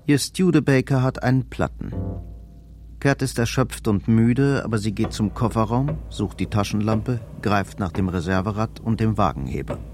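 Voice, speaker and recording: Low male voice actor telling a grim thriller radio play, short sentences, music behind. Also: normal speed, close to an everyday voice. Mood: tense, restrained. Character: grave male narrator of a night-time crime thriller. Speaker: narrator of a radio drama. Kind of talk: scripted dramatic narration in short present-tense sentences.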